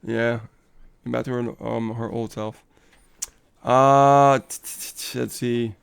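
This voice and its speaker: Gravelly, smooth-voiced motivational man. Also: medium pitch, fairly even intonation, slow pace. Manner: upbeat, motivational, laughs often, reads viewer comments aloud. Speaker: co-host of a live coaching stream. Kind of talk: casual live-stream chat.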